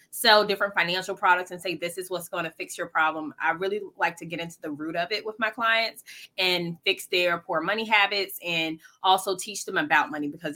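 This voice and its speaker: A woman's voice: fairly low pitch, bright, forceful tone. A woman, a financial coach, answering interview questions earnestly and explaining things plainly, with frequent ums and likes.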